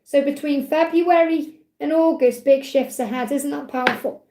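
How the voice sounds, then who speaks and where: A woman, a tarot reader hosting a live Q&A, talking in a chatty, reassuring way while her voice grows croaky. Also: high-pitched, steady tone, normal speed, roomy sound, background noise. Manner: chatty, reassuring, repeats phrases, ends points with "okay?". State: voice getting croaky. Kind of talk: live-stream tarot reading, reading out chat messages.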